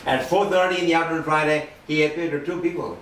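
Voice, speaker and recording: An older man giving an earnest testimony sermon with a loud voice, swinging between hushed and forceful delivery. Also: fairly high pitch, steady tone, fast, slight background noise. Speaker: lay preacher giving testimony. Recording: roomy and distant with faint ambience.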